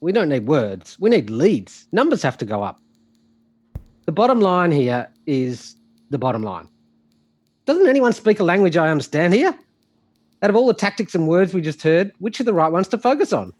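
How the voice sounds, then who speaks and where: A man's voice: high-pitched, very animated, normal speed, darkish tone. A man playing an impatient, blustering chief executive in a comic musical audio drama. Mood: exasperated. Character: exasperated, blustering male company chief executive.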